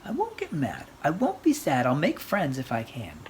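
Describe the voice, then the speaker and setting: A storyteller voicing a young male bunny in a children's storybook, earnest, sensitive and friendly, with expressive swoops. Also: medium pitch, normal speed, voice pushed up. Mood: friendly, polite. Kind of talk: children's storybook read aloud. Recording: faint background noise.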